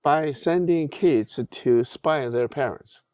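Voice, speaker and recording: A middle-aged man with a Tibetan accent, whose first language is Tibetan, speaks as a podcast guest, answering haltingly with many restarts and fillers. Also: fairly high pitch, a little mellow, clear, resonant tone, no room sound, clean studio sound. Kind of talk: interview answers.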